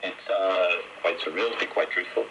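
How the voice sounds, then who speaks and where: A man's voice: low voice, moderate pace. A middle-aged man telling his personal story as a guest in a radio interview, heard over shortwave radio. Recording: very noisy, crackly shortwave radio reception.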